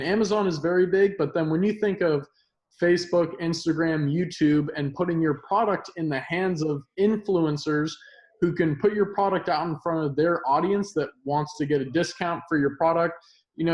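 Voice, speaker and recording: A man hosts a casual interview and speaks fluently to his viewers in an upbeat tone. His voice sits somewhat high for a man, and the room gives it a noticeable echo. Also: a little mellow. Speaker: host of an online selling channel.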